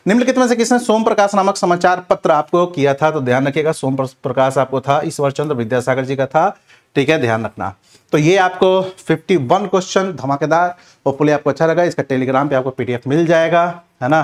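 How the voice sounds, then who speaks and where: A man's voice: a little high, a little fast. A man giving a question-and-answer revision lecture as an exam coaching teacher, instructive and often repeating check phrases.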